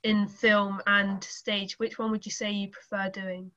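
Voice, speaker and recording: A woman's voice: medium pitch, level tone, unhurried, pushed and bright, slight background noise. A woman asking interview questions tentatively, filling them with likes and ums. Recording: dull lo-fi sound.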